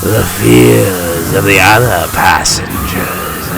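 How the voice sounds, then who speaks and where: A man voicing a sadistic, theatrical supervillain obsessed with fear, gravelly and menacing, in a comic dub. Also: low voice, music in the background, exaggerated voice. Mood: savouring, menacing. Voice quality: gravelly, creaky. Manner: slow, menacing, theatrical, savouring words. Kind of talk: comic dub villain monologue. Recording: orchestral score behind voice.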